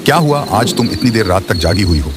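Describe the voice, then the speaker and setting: A man voicing a harsh, dismissive husband in an animated story. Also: lowish voice, fast, very noisy. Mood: puzzled. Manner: harsh, scornful. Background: background music.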